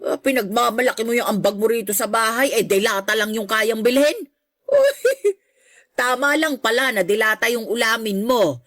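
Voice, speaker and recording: A woman's voice: on the high side, animated, moderate pace, fairly bright tone, no echo, clean studio sound. A woman playing a middle-aged mother in a radio drama, scolding and sarcastic as she belittles her grown son. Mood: scornful. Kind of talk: Filipino radio drama acting.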